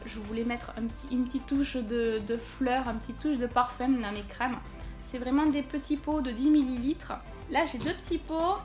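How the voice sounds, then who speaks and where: High-voiced chatty woman unboxing. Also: moderate pace, faint music behind. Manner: chatty, thinking aloud with many fillers. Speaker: vlogger unboxing a package. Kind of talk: casual unboxing chat.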